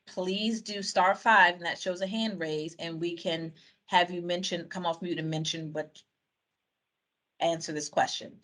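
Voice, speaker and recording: A woman with a lowish voice runs a community meeting over a video call. She reads out and sums up typed answers in a loose, chatty way, full of fillers and restarts. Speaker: meeting facilitator. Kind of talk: reading out and summing up audience answers on a video call.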